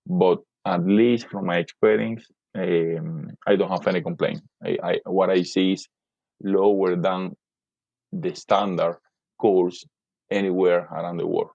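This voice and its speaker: A middle-aged man from Cuba with a Cuban Spanish accent, a native Spanish speaker, talking as a podcast guest in halting speech full of fillers and repeated words. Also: low-pitched, fairly even intonation, gravelly, darkish tone, breathy edge, no room sound. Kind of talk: casual interview talk. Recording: dull lo-fi dry sound.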